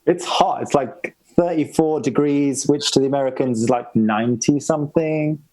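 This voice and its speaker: A man with an English accent, a guest musician, chatting in a relaxed, self-deprecating way on a video call. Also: fairly high pitch, moderate pace, low-quality recording. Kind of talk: casual video-call interview.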